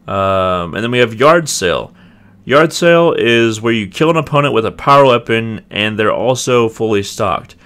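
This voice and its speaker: A young man talks through a video game in a casual, rambling way, hedging as he goes. His voice sits low but swings up and down a lot, with a slight rasp. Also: lively intonation, normal speed, fairly bright tone. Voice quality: slightly raspy. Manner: rambling, hedging, many ums and restarts. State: clearing throat, voice getting raspy. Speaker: gaming video host. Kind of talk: casual gameplay review commentary.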